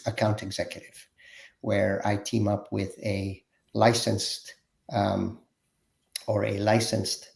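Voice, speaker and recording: A man introducing himself informally as a panel guest, halting as he searches for words. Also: fairly low pitch, level tone, vocal fry. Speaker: panel guest, tech sales consultant.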